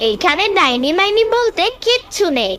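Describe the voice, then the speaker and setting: A woman's voice: extremely high pitch, sing-song, moderate pace, heavy background noise. A woman voicing a fearless, curious little village boy in a cartoon, bold, chirpy and inquisitive, with music behind. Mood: bold, scoffing. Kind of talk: cartoon character voice acting.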